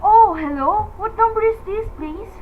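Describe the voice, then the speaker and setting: A woman's voice: extremely high pitch, normal speed, phone-quality sound, slight background noise. A woman playing a frail, nervous housewife in a radio drama, agitated, imperious and melodramatic. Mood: horrified. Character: frail, nervous, demanding housewife alone at night.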